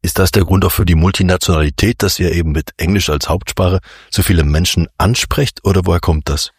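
A man's voice: sits low, fairly even intonation, brisk, husky, no echo, clean studio sound. A man working as a radio host, narrating and interviewing for a series.

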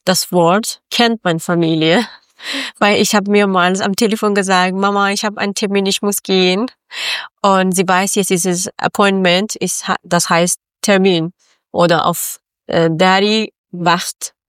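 A woman's voice: mid-range voice, fairly even intonation, unhurried, fairly bright tone, smooth, dry recording. A woman from Afghanistan, a Dari speaker, speaking hesitant German full of fillers as an interview guest. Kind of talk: radio interview.

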